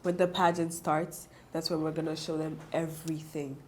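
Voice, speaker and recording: A woman's voice: low-pitched, flat delivery, a little hiss or hum. A teenage woman, answering interview questions earnestly. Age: teens. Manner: earnest, quick to agree. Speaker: pageant titleholder guest.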